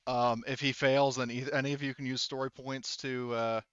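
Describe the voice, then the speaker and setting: Guy game mastering a tabletop rpg, sharp bright voice, casual, kinda dull audio. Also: mid-range voice, level tone, moderate pace, clear, resonant tone, no echo. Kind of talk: casual tabletop game talk, explaining rules and options.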